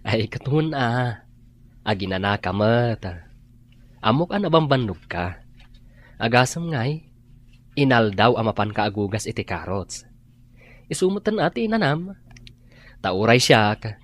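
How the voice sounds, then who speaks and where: A man's voice: mid-range voice, very animated, unhurried, long pauses. An actor with a Filipino accent playing a caring working-man husband in a radio drama. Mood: concerned.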